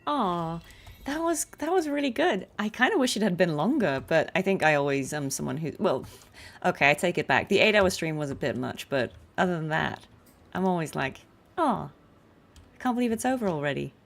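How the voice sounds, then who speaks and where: A woman's voice: on the low side, lively intonation, normal speed, gravelly. A woman live streaming a game, chatting casually in a musing, appreciative way.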